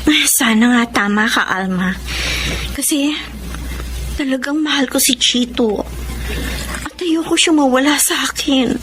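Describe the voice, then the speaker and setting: An actress playing a sweet, loyal young adult daughter in a Filipino radio drama, speaking earnestly with a Filipino accent. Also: female voice, high voice, a little slow, long pauses, noisy background. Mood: worried. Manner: expressive, earnest.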